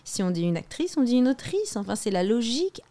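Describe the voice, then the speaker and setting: A woman's voice: a little high, sing-song, fairly quick, warm tone. Insistent young woman in a debate. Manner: insistent, many restarts and fillers. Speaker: guest comedian. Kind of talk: heated debate.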